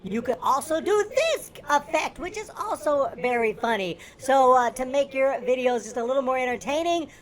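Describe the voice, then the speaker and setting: A man presenting a video intro, his voice shifted upward in pitch by a software effect. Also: animated, moderate pace, hardly any pauses, a little hiss or hum.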